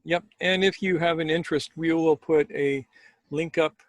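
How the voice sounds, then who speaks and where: A man with a husky voice who speaks as a storyteller and host, in a deliberate, measured way. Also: fairly high pitch, fairly even intonation, no room sound. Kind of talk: measured storytelling, then casual chat.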